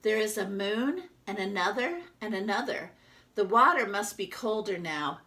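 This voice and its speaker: A woman's voice: mid-range voice, animated, moderate pace, bright, forceful tone. A woman voicing a wistful, wonder-filled bathtub toy duck that tells its own tale in a dreamy, earnest way.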